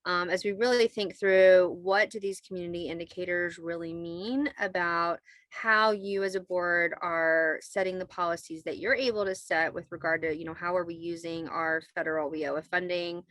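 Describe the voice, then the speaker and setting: A woman's voice: fairly low pitch, steady tone, normal speed, hardly any pauses, a bit bright, no room sound. A woman leading an online committee meeting, talking in a semi-formal, conversational way as facilitator. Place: video call.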